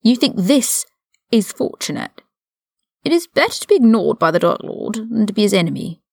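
Haughty older male wizard acted by a woman, condescending, measured, swooping intonation, creaky edge, storybook villain. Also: a little high, very animated, dry recording, clean studio sound, full character voice. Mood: cold, pragmatic. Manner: condescending, measured, drawling formal speech. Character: older aristocratic male wizard prisoner, haughty and coldly mocking.

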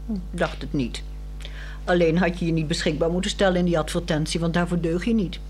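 A woman's voice: lowish voice, fairly even intonation, a little fast, darkish tone, slight background noise, lightly acted. A woman with a Netherlands Dutch accent playing a bored, frank housewife in a 1960s radio drama, probing and pointed in a dry way.

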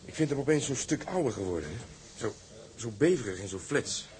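An actor playing a seasoned, resigned sailor talking frankly and reflectively over drinks in a Dutch radio drama. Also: male voice, mid-range voice, lively intonation, brisk, a little mellow, natural voice.

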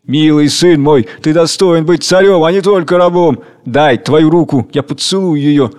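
A man voicing a furious, raving tyrant king of hunger, declaiming and commanding loudly. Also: on the high side, moderate pace, natural voice. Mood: raging.

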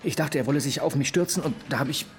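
An actor playing a lovesick, broken murder suspect, confessing in a halting, subdued way in a crime radio drama. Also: male voice, mid-range voice, over music, lightly acted. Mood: distressed.

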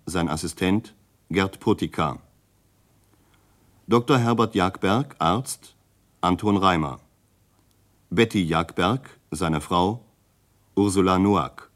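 A male radio announcer with a very deep voice. He reads very slowly and formally, setting each scripted phrase apart in the manner of sixties radio. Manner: measured, separated phrases. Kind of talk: formal scripted credits reading, 1960s radio.

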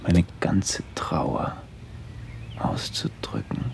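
An actor playing a brooding, wry writer who muses in halting fragments in a radio play monologue. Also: male voice, whispered. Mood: musing, melancholy. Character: brooding wandering writer, a man, wry and melancholy. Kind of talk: first-person literary radio play monologue. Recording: clearly noisy ambient radio recording.

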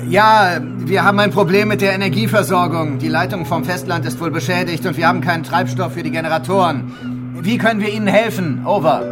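An actor playing a wary, businesslike coast station operator who talks in formal radio procedure in a radio drama. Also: male voice, high voice, fairly quick, over music, lightly acted. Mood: businesslike, guarded.

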